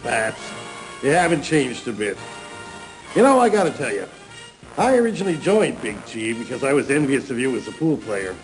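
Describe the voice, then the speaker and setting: An actor playing a smug, envious pool shark in a video game cutscene, with showy, drawling taunts. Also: male voice, mid-range voice, big pitch swings, normal speed, soft background music, close to an everyday voice, poor audio. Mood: rueful.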